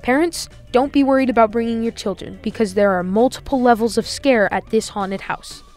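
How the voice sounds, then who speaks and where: A teenage girl reading a scripted school news voice-over as a student reporter, upbeat and clear. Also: on the high side, a little fast, a little mellow.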